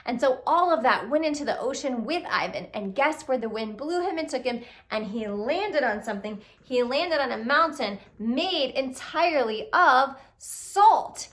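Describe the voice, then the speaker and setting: A woman telling a children's puppet story in an expressive way, speaking directly to young listeners with questions and exclamations. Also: sits high, normal speed, few pauses. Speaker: storyteller, puppet show reader.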